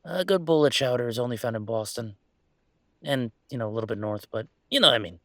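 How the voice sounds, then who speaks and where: A man playing a young werewolf boyfriend in an intimate audio roleplay, talking in a halting, rambling, conversational way with a gravelly creak. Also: medium pitch, a bit bright, no echo, studio quality, lightly acted. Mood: hopeful. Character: young male werewolf boyfriend, hesitant, affectionate, weighing a move.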